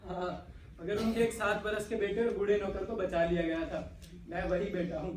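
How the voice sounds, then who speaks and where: A man's voice: high-pitched, fairly even intonation, moderate pace, natural voice. A young man playing an earnest, lovestruck servant, deferential yet defiant, projecting emphatically in a stage comedy. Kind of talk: theatrical Hindustani stage comedy acting. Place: indoor stage, recorded from the audience. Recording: echoey hall, far-off mic, noisy.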